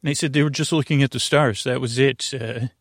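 A man hosting a sleep podcast in a meandering, halting bedtime monologue, full of restarts and whimsical, punning tangents, with a gravelly voice. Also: mid-range voice, steady tone, no room sound, studio quality. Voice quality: creaky, gravelly. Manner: whimsical, punning, silly asides.

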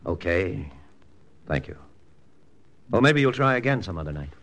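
A man's voice: low-pitched, big pitch swings, normal speed, fairly bright tone, full character voice. An actor in a 1940s radio drama playing an American spy officer who narrates his story, terse and brooding. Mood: clipped. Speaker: narrator and lead character.

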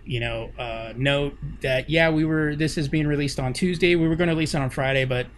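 A man's voice: a little high, a little fast, a little hiss or hum. A man co-hosting a casual podcast, chatting loosely with restarts and frequent laughs. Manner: laughs often, rambling with restarts. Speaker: podcast co-host. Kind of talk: casual chat. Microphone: computer mic on a call.

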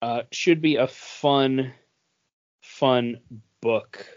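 A man in his forties co-hosting a podcast, talking casually with plenty of um and uh fillers. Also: mid-range voice. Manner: unhurried, many um and uh fillers. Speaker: podcast co-host. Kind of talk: casual chat. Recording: slightly dull lo-fi audio.

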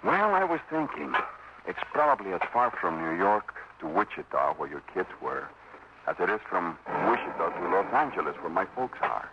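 Homesick young GI, very low pitch, lively intonation, halting, earnest, radio play. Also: male voice. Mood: wistful, hesitant. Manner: halting, trailing off. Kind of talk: 1950s radio drama acting. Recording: muffled, noisy 1950s radio broadcast.

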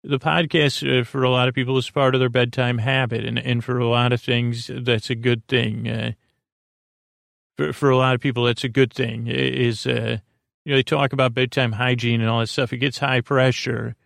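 A man hosting a bedtime podcast in a rambling, halting monologue, with a creaky voice and sentences that often trail off. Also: mid-range voice, flat delivery, a bit bright, no echo, studio quality. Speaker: podcast host.

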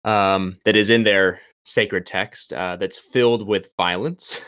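A young man in his twenties, a doctoral student guest on a podcast, talking casually and haltingly with many ums and uhs. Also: on the low side, steady tone, a bit bright, clean tone, dry recording, studio quality. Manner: halting, full of ums and uhs, shifting pace. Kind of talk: casual interview talk.

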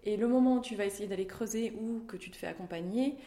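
A woman's voice: mid-range voice, fast, dark tone. A woman speaking as a casual interviewer, agreeing and thinking aloud with hesitant phrasing. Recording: roomy, echoing sound.

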